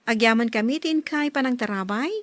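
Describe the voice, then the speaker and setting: A woman hosting a radio drama, narrating a script in a measured, declamatory way. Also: fairly high pitch, no echo. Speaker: radio drama host and announcer. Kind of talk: scripted solemn programme narration.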